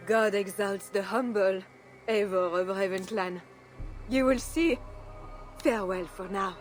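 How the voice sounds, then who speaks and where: A woman's voice: mid-range voice, fairly even intonation, measured pace, faint music behind, lightly acted. An actress playing a devout, steadfast queen in a video game, speaking with earnest, composed formality. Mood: gentle resolve. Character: devout, dignified queen, steadfast and protective mother. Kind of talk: dramatic video game acting.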